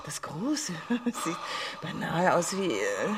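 Radio play queen, high swooping voice, flirtatious, capricious, commanding, loud ambience behind. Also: big pitch swings, takes it slowly, background noise, full character voice. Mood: teasing, confiding. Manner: coaxing, teasing, breathy asides and giggles. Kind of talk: German radio play acting.

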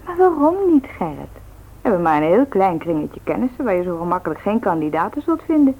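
A woman's voice: medium pitch, big pitch swings, quick pace. An actress playing a teasing, practical woman who coaxes persistently in a Dutch radio play. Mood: insistent, coaxing. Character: teasing, practical woman, meddling matchmaker to a man. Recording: tinny, noisy old radio recording.

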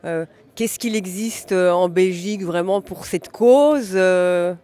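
A man interviewing guests, asking long, halting, rambling questions. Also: high voice, mellow.

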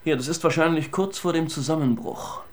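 An actor playing a flippant, slangy police investigator in a radio crime drama, casual and matter-of-fact. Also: male voice, on the high side, fairly quick, a little mellow, a little hiss or hum, close to an everyday voice.

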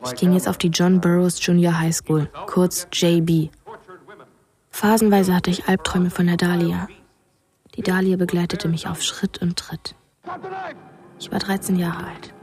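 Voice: medium pitch, flat delivery, unhurried, pauses often, a little mellow, natural voice. A young performer playing a lonely, haunted thirteen-year-old boy, narrating tersely in a radio drama. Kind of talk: scripted first-person radio drama narration.

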